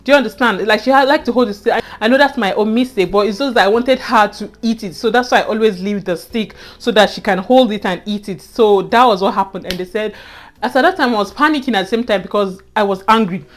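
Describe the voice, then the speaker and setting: A woman's voice: medium pitch, fast. A young Nigerian woman with a Nigerian accent, earnestly telling a personal story to her viewers and often repeating herself and adding "you know". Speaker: vlogger. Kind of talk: casual storytelling to camera.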